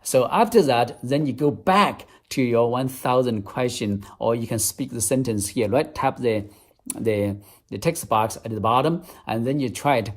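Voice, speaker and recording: A man's voice: mid-range voice, moderate pace. A man with a Chinese accent, a native Chinese speaker, teaching English step by step in an app demonstration. He talks earnestly and repeats key phrases.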